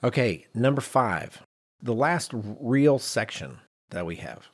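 A man's voice: mid-range voice, sing-song, unhurried. A man co-hosting a podcast, talking conversationally and explaining with emphasis and rhetorical repetition. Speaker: podcast co-host. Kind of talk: conversational podcast discussion on leadership.

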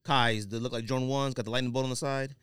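A man hosting a podcast in casual banter, talking in an animated, slangy, emphatic way. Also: mid-range voice, quick pace, clean tone, no room sound, clean studio sound. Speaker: podcast host.

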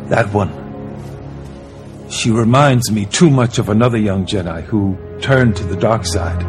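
A man's voice: on the low side, a little slow, music in the background, close to an everyday voice. An actor playing a grave, wary and disapproving male Jedi in a video game. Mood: grave reproach.

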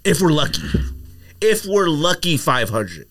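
Blunt, animated man hosting a podcast. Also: fairly high pitch, a little slow, dry recording. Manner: blunt, dismissive trash talk. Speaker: podcast host. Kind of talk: casual sports podcast banter.

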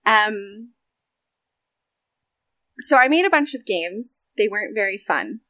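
A young woman from the United States chats animatedly and laughs often. She speaks with quick asides. The recording is dry and close, with no room sound. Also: medium pitch, expressive, pushed and bright, no room sound. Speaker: voice actor and game developer. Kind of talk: casual interview chat.

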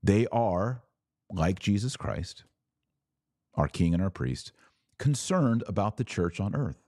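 A man's voice: on the low side, very animated, takes it slowly, a little mellow, dry recording, clean studio sound. An American man hosting a podcast, explaining religion informally and emphatically, posing rhetorical questions and answering them himself. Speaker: podcast host answering viewer comments.

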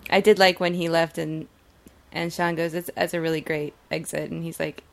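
A woman's voice: low-pitched, level tone, moderate pace, creaky. A woman co-hosting a podcast, talking casually about a television show. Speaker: podcast co-host. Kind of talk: casual TV episode discussion.

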